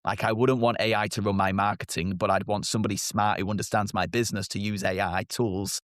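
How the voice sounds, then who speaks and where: A man's voice: lowish voice, steady tone, a little fast, no echo, studio quality. A man with a British accent, a marketing agency co-founder, answering interview questions directly and confidently.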